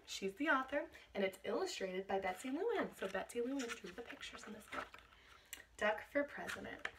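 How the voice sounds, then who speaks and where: A woman reading a picture book aloud to children in an expressive teacherly way, stopping to explain things. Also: mid-range voice, a little hiss or hum. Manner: expressive, explains words and asks questions to young listeners.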